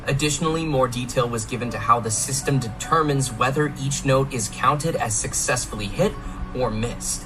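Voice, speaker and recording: A man presenting scripted game announcements in an explanatory, measured way. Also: mid-range voice, poor audio. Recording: music playing behind the voice.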